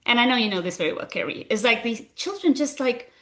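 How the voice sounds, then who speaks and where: A woman in her forties from Argentina, speaking with an Argentine Spanish accent, describing her path from medicine to founding a school in an animated, earnest way with many fillers. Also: fairly high pitch, fast. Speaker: guest, school founder and physician. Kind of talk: conversational interview.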